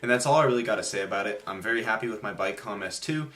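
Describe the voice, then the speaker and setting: A man's voice: lowish voice, very fast, fairly bright tone, faint music behind. A man giving a casual product review to his viewers in informal, slangy language. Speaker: product reviewer and video host.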